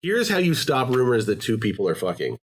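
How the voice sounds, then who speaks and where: A man's voice: medium pitch, wildly swooping pitch, fairly quick. A man hosting a podcast, talking casually, rambling with many fillers and restarts. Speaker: podcast host. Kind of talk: casual chat.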